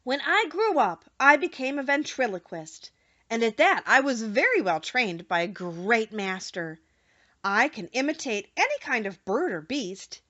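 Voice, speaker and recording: A small old humbug wizard from a storybook , acted out by a woman, pitched high and swinging expressively as he confesses earnestly and sorrowfully. The recording is slightly dull. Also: big pitch swings, unhurried, sharp tone, exaggerated voice. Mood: explaining. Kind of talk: audiobook character voice.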